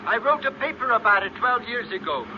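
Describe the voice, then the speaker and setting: Scientist, high-pitched, halting, excitable, vintage radio drama through a noisy dull recording. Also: male voice. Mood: excited. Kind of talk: 1950s radio serial acting.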